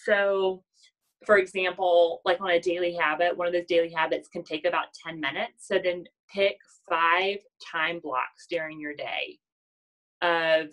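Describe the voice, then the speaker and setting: A woman's voice: fairly low pitch, a bit bright, rough recording. A woman in her thirties with a nasal voice, giving a casual motivational talk to her sales team and often asking "does that make sense?". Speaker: network marketing team leader.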